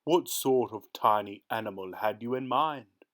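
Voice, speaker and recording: A man voicing a fierce, cruel, boastful ogre with a slow, booming roar. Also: a bit bright.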